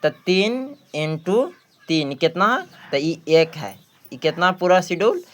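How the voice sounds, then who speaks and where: A man's voice: a little high, animated, takes it slowly. A man with a Bihari accent teaching a maths practice lesson, repeating phrases and asking questions that he answers himself. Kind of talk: exam practice lesson, working through questions.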